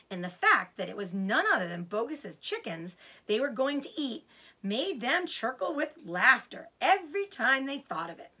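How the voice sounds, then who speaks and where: Woman, storybook narrator, expressive, animated pitch, bright tone, slight rasp. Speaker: storybook read-aloud narrator.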